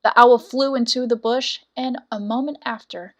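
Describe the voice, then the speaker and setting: Female storyteller, fairly high-pitched, for scripted fairy tale narration with deliberate, dramatic delivery. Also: steady tone, a bit bright.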